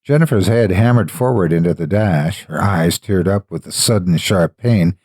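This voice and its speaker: Low-voiced man narrating a dramatic story. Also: moderate pace, a little mellow, no echo, studio quality.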